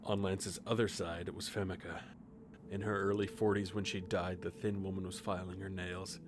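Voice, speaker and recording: Man playing grim reaper, very deep pitch, even restrained delivery, detached, first-person audio drama narration. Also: moderate pace, background noise, natural voice. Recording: music behind the voice. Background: music with guitar.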